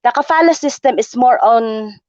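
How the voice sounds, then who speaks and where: A woman on a panel, explaining a labor system in a formal, measured way. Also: fairly high pitch, darkish tone, dry recording, dull audio. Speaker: panel guest, migrant rights speaker.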